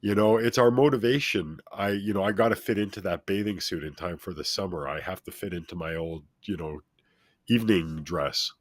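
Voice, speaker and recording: A middle-aged or older man with a deep, authoritative voice, speaking as a motivational guest and addressing listeners in an emphatic, instructive way. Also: brisk, no room sound. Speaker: talk show guest, self-help speaker. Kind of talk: motivational interview talk, speaking at length.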